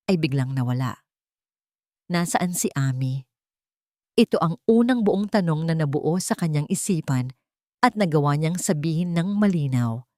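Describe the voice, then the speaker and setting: A woman's voice: on the low side, warm tone, dry recording, studio quality. A woman with a Filipino accent reading a scripted dramatic story as narrator.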